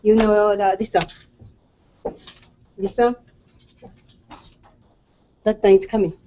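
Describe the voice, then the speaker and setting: A middle-aged woman with a Japanese accent explaining math in a classroom, halting and repeating short phrases, checking with "right?". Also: mid-range voice, flat delivery, warm tone, poor audio. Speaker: math instructor. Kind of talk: explaining math to a small study group. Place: classroom with whiteboard and posters. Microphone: far room camera on a video call.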